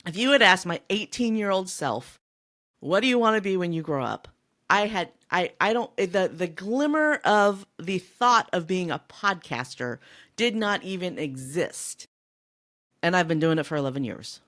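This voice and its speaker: A woman co-hosting a podcast, chatting casually and in an animated way about a book. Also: on the low side, moderate pace. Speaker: podcast co-host.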